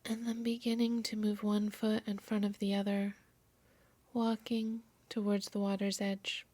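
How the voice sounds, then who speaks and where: Slow, soft-spoken woman guiding meditation. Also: medium pitch, flat delivery, no room sound. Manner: soft, soothing, measured delivery. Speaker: yoga nidra instructor. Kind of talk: guided meditation narration.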